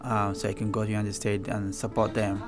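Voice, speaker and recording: A man's voice: on the low side, completely flat delivery, brisk, over loud music. A man from Kenya, speaking hesitantly and reflectively about his family as an interviewee. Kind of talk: personal testimony.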